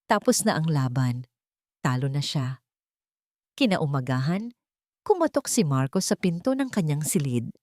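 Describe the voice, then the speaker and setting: A woman's voice: low-pitched, sing-song, measured pace, long pauses, warm tone, no room sound, clean studio sound. A woman narrating a scripted love story in an emotive, dramatic storytelling manner.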